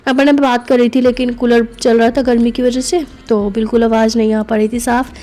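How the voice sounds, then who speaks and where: A woman's voice: fairly high pitch, little pitch movement, brisk, warm tone. A young woman narrating her family vlog in voice-over, chatty and full of fillers. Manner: chatty, many fillers like "na" and "matlab". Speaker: family vlogger.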